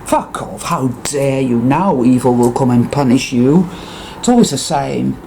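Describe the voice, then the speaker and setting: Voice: medium pitch, a little slow, vocal fry, warm tone, slight background noise. A speaker with a Dutch accent, a native Dutch speaker, gives an intense, profane, emphatic monologue to viewers, repeating words for emphasis. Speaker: spiritual vlogger.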